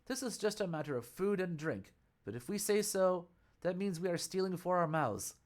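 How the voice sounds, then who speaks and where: A man's voice: high-pitched, normal speed, voice pushed up, natural voice. A man voicing a sly male monkey disciple who schemes to deny what he did, speaking in a conspiratorial way. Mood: scheming.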